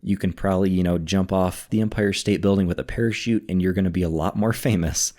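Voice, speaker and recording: A man's voice: low-pitched, fairly even intonation, fast talker, darkish tone. A man chatting as a guest on a podcast, opinionated and easygoing, laughing readily. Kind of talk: casual chat.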